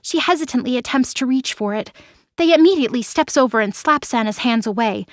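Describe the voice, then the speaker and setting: A woman's voice: a little high, expressive, a little fast, no room sound, clean studio sound. A woman reading scripted dramatic narration for an audio story.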